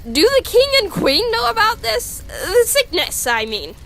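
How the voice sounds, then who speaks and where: A woman voicing an earnest, fussy young male skeleton in a comic dub, loud, with emphatic, formal diction. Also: sing-song, a little slow, vocal fry, exaggerated voice. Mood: worried, earnest. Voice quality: loud, high-pitched. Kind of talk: animated comic dub acting. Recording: music behind the voice.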